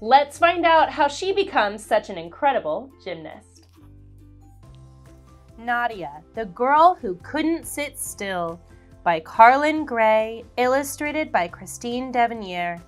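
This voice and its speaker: A woman reading a children's picture book aloud, slow, clear and expressive, as host of a read-along show. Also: on the high side, faint music behind. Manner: animated, slow and clear storytelling.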